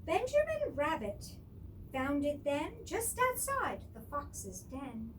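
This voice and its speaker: A woman's voice: sits high, big pitch swings, slow. A woman reading a rhyming picture book aloud expressively and theatrically, with chatty asides. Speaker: storyteller. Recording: distant microphone with clear background noise.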